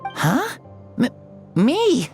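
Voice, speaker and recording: A woman's voice: high-pitched, huge pitch swings, a little mellow, soft background music, full character voice. A woman voicing a timid, earnest young child in a video game, hesitant and full of wonder. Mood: confused. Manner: hesitant, halting.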